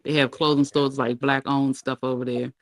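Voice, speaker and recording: A man talking casually in a matter-of-fact, slightly halting way, as if giving directions. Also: mid-range voice, level tone, vocal fry, crowd noise. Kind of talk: casual informative chat.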